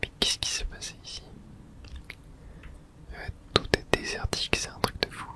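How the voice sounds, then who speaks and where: Guy whispering asmr gameplay commentary, muttering little bits to himself as he plays. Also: moderate pace. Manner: murmured reactions to himself in short fragments. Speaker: video game streamer.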